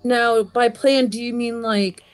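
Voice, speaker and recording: A woman in her twenties co-hosting a casual podcast, laid-back and unhurried. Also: on the high side, steady tone. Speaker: podcast co-host. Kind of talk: casual chat.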